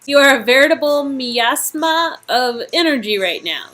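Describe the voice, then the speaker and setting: Woman playing lively, expressive young aspiring actress. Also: sits high, normal speed, pitched above the performer's own voice. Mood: surprised. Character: friendly young aspiring actress, game heroine, good-natured. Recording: music in background.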